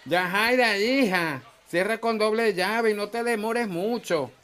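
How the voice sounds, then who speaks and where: A man's voice: high voice, unhurried, fairly bright tone. An actor playing a protective, affectionate Venezuelan father and bar owner, with a Venezuelan accent, in a comic radio soap. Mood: fatherly, instructing. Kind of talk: humorous radio soap opera acting.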